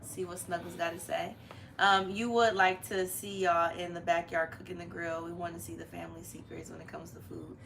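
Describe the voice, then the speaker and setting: Bright-voiced young woman hosting a live stream. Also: fairly low pitch, steady tone, fairly quick, vocal fry. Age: young adult. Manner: chatty, familiar, uses slang. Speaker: host of a family live stream. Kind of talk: casual live-stream chat. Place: living room, on a couch. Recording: noticeable room noise.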